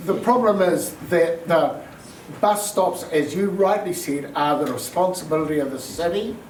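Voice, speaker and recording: Man, New Zealand accent, council candidate, measured, explanatory, distant, echoey hall. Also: fairly high pitch, a little hiss or hum. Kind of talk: answering a question at a public meeting.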